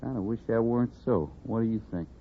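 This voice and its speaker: Man acting a low-voiced condemned Western outlaw. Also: natural voice. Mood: regretful, brooding. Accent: American Western. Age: 30s. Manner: folksy, slow, brooding. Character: condemned outlaw, thirty-six, bitter, regretful, unschooled. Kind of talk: 1950s radio Western acting. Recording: tinny, hissy 1950s radio recording.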